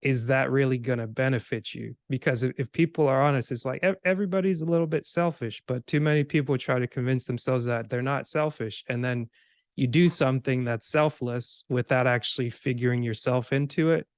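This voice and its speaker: Even-toned man hosting a casual podcast. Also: medium pitch, brisk, no echo. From Cameroon, grew up in Georgia, USA. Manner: informal, rambling, acts out imagined remarks. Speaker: podcast host.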